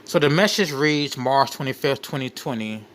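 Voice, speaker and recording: Male host, mid-pitched, reads documents aloud and comments freely, halting and repetitive, faintly noisy recording. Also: lively intonation, fairly bright tone. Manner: halting, repeats and rephrases phrases. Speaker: late night show host.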